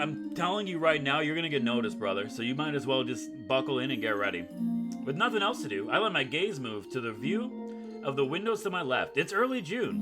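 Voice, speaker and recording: Male let's-player, mid-range voice, storybook-style reading mixed with jokey casual commentary, music underneath. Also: medium pitch. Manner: jokey asides, dramatic storytelling read. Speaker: gaming video host. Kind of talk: reading visual novel aloud with casual joking commentary. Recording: music playing behind the voice.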